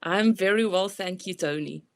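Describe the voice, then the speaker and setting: A woman's voice: on the low side, moderate pace, clear, resonant tone. A middle-aged woman with a South African accent, a guest expert explaining her ideas in a thoughtful, conversational way with many "you know" fillers. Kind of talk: conversational interview.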